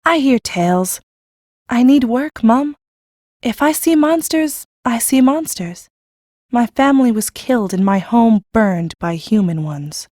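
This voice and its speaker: A high-voiced, earnest country girl. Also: animated, measured pace, a little mellow, no room sound, studio quality. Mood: stoic, guarded. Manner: rustic, frank, earnest. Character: eager, plain-spoken orphaned country girl seeking work. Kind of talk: full-cast audiobook acting.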